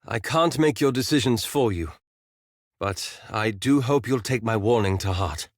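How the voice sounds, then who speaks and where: A man playing an earnest, cautious, scholarly adventurer in a fantasy role-playing game, speaking formally and articulately in measured, courteous phrases. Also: lowish voice, expressive, no echo, studio quality. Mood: hesitant, resigned. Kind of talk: scripted video game character dialogue.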